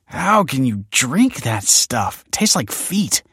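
A man's voice: medium pitch, sing-song, unhurried, darkish tone, breathy edge, no echo, studio quality, pitched above the performer's own voice. A man voicing an anxious, awkward eighteen-year-old grandson in an audiobook, speaking in a nervous, blurting way. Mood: disgusted. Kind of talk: audiobook character dialogue.

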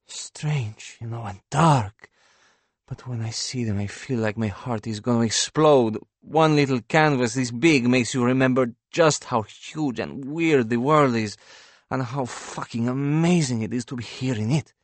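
A man's voice: mid-range voice, animated, normal speed, no echo, voice pushed up, natural voice. A man voicing a passionate, blunt young woman painter in an audiobook, speaking with earnest emphasis. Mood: rapturous.

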